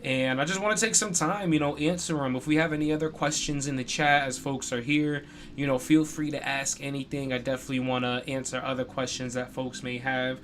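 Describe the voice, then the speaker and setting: A man's voice: mid-range voice, fairly even intonation, brisk, a bit bright, slight background noise. A young man hosting a live stream, talking in a casual, chatty way with lots of "you know" fillers. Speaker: streamer and podcast host.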